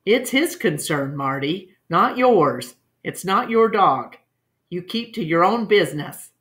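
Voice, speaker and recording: A woman's voice: lowish voice, lively intonation, slow pace, fairly bright tone. A woman voicing a stern, practical rural father with a blunt, folksy manner in a storybook.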